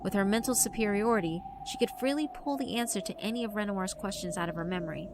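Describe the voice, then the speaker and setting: A woman's voice: medium pitch, fast, noisy background. A woman reading scripted narration for a horror visual novel. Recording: music behind the voice. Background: background music.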